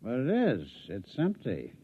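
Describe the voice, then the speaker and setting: A man's voice: medium pitch, wildly swooping pitch, a little slow, husky, no room sound, full character voice. A man acting a wry, jittery sidekick who would rather avoid danger, in a 1950s radio comedy drama. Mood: wry, bored. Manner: wry, jittery, stammers when alarmed. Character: nervous, wry male sidekick who prefers cocktails to danger. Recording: dull, dry old radio recording.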